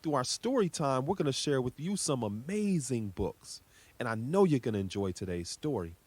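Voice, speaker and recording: Man hosting children's story time, animated, dry sound. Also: medium pitch, normal speed, breathy edge, no room sound. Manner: welcoming, encouraging. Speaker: host introducing a children's story time series. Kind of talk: addressing children, scripted introduction.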